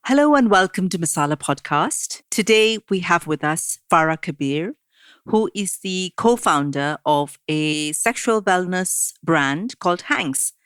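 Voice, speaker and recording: A woman hosting a podcast interview, speaking in a warm, inviting way. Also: lowish voice, expressive, unhurried, clear, resonant tone, no room sound, clean studio sound. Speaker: podcast host.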